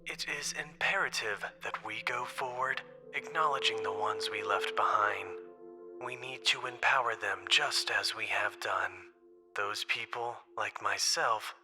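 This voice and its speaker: A man playing an isolated, reflective man recording a farewell message, speaking solemnly and deliberately with breathy phrase endings. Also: on the low side, sharp tone. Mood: resolute, somber. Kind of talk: scripted dramatic monologue, recorded farewell message. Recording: voice over music.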